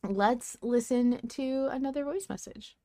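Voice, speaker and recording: A woman's voice: a little high, unhurried, dark tone, no room sound, clean studio sound. A woman hosting a podcast in a casual, chatty style. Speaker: podcast host.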